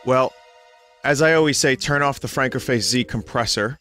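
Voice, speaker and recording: A young man, a little hoarse and tired, chatting casually and rambling to his stream viewers from home. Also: mid-range voice, normal speed, bright, forceful tone, soft background music. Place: home room.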